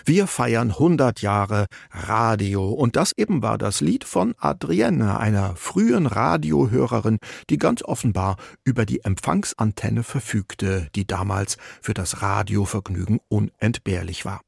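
A man presenting a radio programme in a scripted narration style, with a creaky, husky voice. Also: on the low side, animated, unhurried, few pauses, dry recording, clean studio sound.

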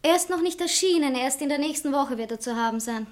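Woman playing proud sentimental lady writer, highish voice, dramatic and self-justifying, theatre satire. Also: fast, lightly acted. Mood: firm. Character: proud, sentimental woman novelist torn between two lovers. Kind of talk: stage satire acting in literary German.

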